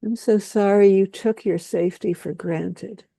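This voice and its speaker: A woman speaking a slow, solemn spoken-word lament, with repetitive phrasing and long pauses. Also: medium pitch, fairly even intonation, creaky, dark tone, breathy edge, no room sound.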